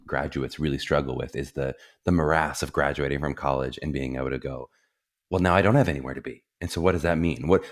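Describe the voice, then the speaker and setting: A man hosting a theater podcast, earnest and reflective, with many likes and ums. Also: very deep voice. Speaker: podcast host, theater program director. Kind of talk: casual podcast interview.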